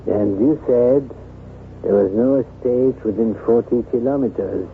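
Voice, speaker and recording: An actor playing a cordial, courtly Parisian gentleman and loyal friend in a 1970s radio drama. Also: medium pitch, measured pace, close to an everyday voice. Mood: attentive. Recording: tinny narrow-band old radio, faint noise.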